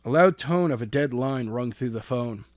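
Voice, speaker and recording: A man's voice: mid-range voice, moderate pace, no room sound, clean studio sound. A man reading an action story as an amateur narrator, stumbling and repeating phrases as he goes. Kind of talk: scripted narration of an action novel.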